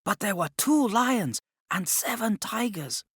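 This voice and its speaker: A man's voice: high-pitched, lively intonation, measured pace, a bit bright, slightly rough, no echo, studio quality, voice pushed up, full character voice. A man voicing an earnest, devoted young woman acrobat who confides in her friend, in an audiobook. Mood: confiding. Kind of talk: audiobook character dialogue.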